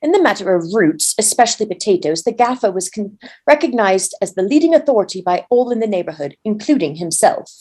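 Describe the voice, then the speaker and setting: A woman's voice: mid-range voice, big pitch swings, a little fast, a little mellow, breathy edge. A woman volunteer reading a story aloud as narrator.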